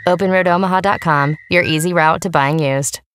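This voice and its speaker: A woman reads a commercial script in the first person as a voiceover artist. Her voice is low for a woman, with clear music behind it. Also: steady tone, fairly quick.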